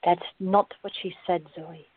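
A woman playing a patient, sensible, gently firm woman in a radio drama. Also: fairly low pitch, unhurried, no echo. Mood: reasoning. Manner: calm, reasoning, gently firm. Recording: muffled, phone-like narrow-band audio.

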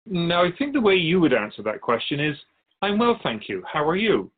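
A man playing a calm otherworldly spirit guide in a radio drama, explaining things in a measured, quizzical way as an interview guest. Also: a little high, phone-quality sound. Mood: quizzical, serene. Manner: measured, explanatory, quizzical about human speech.

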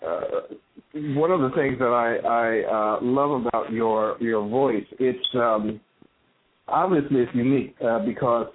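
A man hosting an interview show, talking haltingly with many fillers and compliments for his guest. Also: medium pitch. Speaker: show host. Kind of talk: interview on a call-in style show. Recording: tinny phone-line sound with faint noise.

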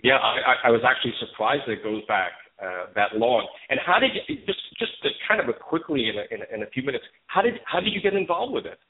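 A man's voice: medium pitch, big pitch swings, fast talker, few pauses. A man hosting a talk show and interviewing a guest, heard over a tinny, phone-like line. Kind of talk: conversational interviewing with scripted show introduction.

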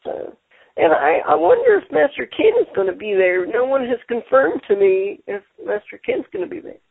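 Gravelly man hosting over a phone line. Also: very high voice, sing-song, no echo. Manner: halting, repeats words, many fillers. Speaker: show host. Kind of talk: casual radio chat. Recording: tinny phone-line sound.

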